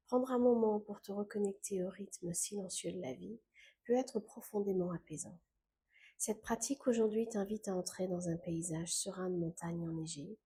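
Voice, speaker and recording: A woman guiding a scripted meditation in a calm, gentle, unhurried way, with soft phrase endings that fade into breath. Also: mid-range voice, a little hiss or hum. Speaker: meditation guide.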